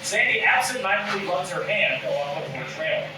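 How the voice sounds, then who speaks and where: A man's voice: sits high, big pitch swings, a little fast. A man reading stage directions as scripted narration at a live table read. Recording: echoey room, distant mic, clear noise.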